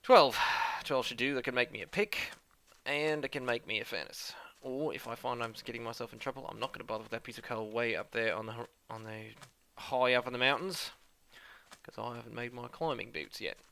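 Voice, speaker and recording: A man's voice: medium pitch, fairly even intonation, fairly quick, creaky, sharp tone, slight background noise. A man putting on a playful mock Australian accent while giving rambling let's-play commentary. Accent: put-on Australian, based on a New Zealand acquaintance. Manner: playful, self-mocking, rambling.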